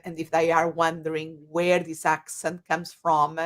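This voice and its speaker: A woman's voice: low-pitched, monotone, measured pace. A middle-aged woman from Portugal with a Portuguese accent, speaking as an interview guest, earnest, with fillers and rephrasing. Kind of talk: casual interview talk.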